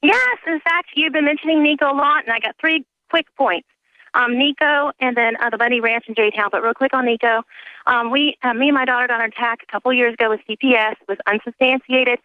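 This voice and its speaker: A fairly high female voice comes through a tinny telephone line as a caller to a radio show. She rushes earnestly from point to point and fills the gaps with "um". The sound is dry and close. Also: no echo. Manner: hurried, earnest, jumps between points with "um". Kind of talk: call-in phone talk.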